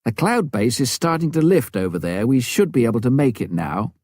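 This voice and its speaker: A man's voice: mid-range voice, big pitch swings, a little fast, a little mellow, no echo, studio quality, voice pushed up. A man reading a storybook role as a wary, businesslike male bush pilot talking over the radio. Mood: observant.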